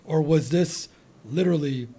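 A male podcast host with a husky voice that sits a little high for a man. He talks in an excitable, rambling way, often breaking off and restarting his sentences. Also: moderate pace. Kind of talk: casual interview.

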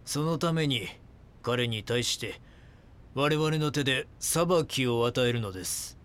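Over faint noise comes a mid-pitched cult leader played by a man. He makes solemn proclamations of divine will in formal, measured phrases, as in an audio drama. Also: close to an everyday voice. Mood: solemn, condemning. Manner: formal, measured, preaching pronouncements. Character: solemn male cult founder claiming divine revelations.

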